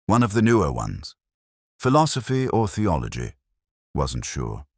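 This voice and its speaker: A man narrating a first-person detective story in a measured, reflective, literary manner. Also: low voice, huge pitch swings, no room sound, studio quality.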